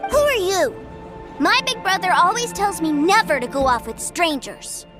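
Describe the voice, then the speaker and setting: A woman's voice: very high voice, lively intonation, moderate pace. A woman voicing a cheerful, innocent little boy with an earnest, childlike manner in a video game. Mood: innocent, matter-of-fact. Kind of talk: English game dub acting. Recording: background music under the voice.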